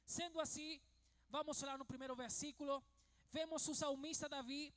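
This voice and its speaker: A man from Venezuela, preaching a sermon as pastor in a measured way that turns emphatic. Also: very high-pitched, fairly even intonation, a bit bright.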